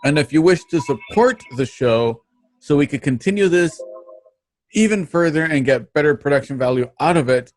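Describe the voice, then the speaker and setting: A man hosting an online show, giving a casual, halting sign-off with plugs and thanks. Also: a little high, expressive, dry recording. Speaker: host of an online radio drama show.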